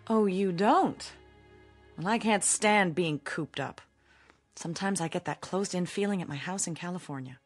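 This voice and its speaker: An actress playing a headstrong, flirtatious Hollywood star in a radio drama, chatty, theatrical and teasing. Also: female voice, on the low side, moderate pace, husky, soft background music. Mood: breezy, dismissive. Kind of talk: modern radio drama acting.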